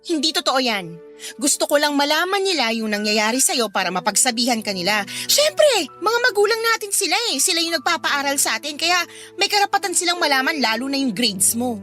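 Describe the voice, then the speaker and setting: Scolding young elder sister for radio drama: high bright voice, insistent, caring underneath. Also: female voice, sing-song, brisk, faint music behind, full character voice. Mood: insistent, exasperated. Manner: reproachful, insistent. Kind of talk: Filipino radio drama acting.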